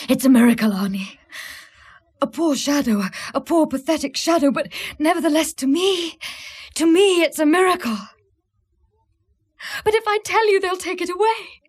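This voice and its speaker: A distraught, obsessive woman in a scripted drama. Her voice is high and fraught, and she speaks haltingly, guarding a secret and circling back over phrases. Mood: pleading.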